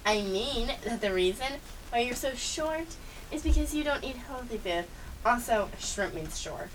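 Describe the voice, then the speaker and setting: A woman's voice: a little high, lively intonation, unhurried. Woman playing a bossy, scornful cat, recorded distantly. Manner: sarcastic, insulting, superior. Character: bossy, scornful young female cat scheming world domination. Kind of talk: amateur scripted audio comedy acting. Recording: distant mic, faint background hiss.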